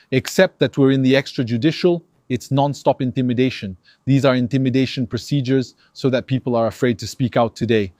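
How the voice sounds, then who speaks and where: A man's voice: mid-range voice, dry recording. Algerian-born guy ranting about the news, emphatic, dry close sound. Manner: animated, emphatic, acts out dialogues. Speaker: commentator. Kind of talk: opinion monologue.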